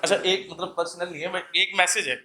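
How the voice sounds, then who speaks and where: Bright, forceful young man reciting poetry. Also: high-pitched, fairly even intonation, fast talker. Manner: declaims couplets, repeating lines for emphasis. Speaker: poet performing at an open mic. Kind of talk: live Hindi poetry recital with casual asides to the audience.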